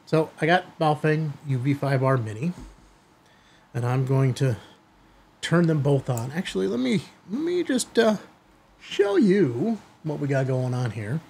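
A middle-aged man hosting a hobby video, giving a product demonstration to camera in a husky voice. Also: on the high side, big pitch swings, unhurried, darkish tone, a little hiss or hum. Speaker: host of a ham radio video channel. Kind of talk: product test demo to camera. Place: small indoor studio room.

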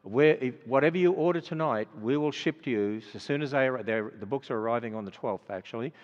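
Older Australian man, earnest speaker at a church event, mid-pitched voice, muffled sound with long hall reverb. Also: quick pace. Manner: earnest, conversational, many fillers. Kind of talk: sermon closing then announcements. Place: large auditorium.

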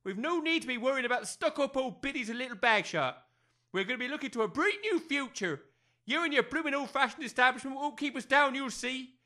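Male actor as a cocky working-class father, blustering, bright-toned, for audio comedy. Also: very high-pitched, animated, quick pace, exaggerated voice. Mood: cocky, defiant. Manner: blustering, colloquial. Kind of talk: audio comedy drama acting.

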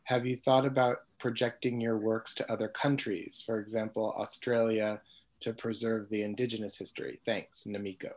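A man's voice: lowish voice, little pitch movement, moderate pace, darkish tone. A man in his thirties moderating a video panel, reading audience questions and laughing easily. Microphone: video call.

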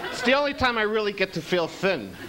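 Middle-aged New stand-up, deadpan and self-mocking, slightly high voice, loud hiss on a live club tape. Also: male voice, fast, a loud crowd. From Queens, New York. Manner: self-deprecating, deadpan delivery. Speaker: stand-up comedian. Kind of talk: stand-up set. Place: small comedy club stage, indoors. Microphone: handheld mic. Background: audience laughter.

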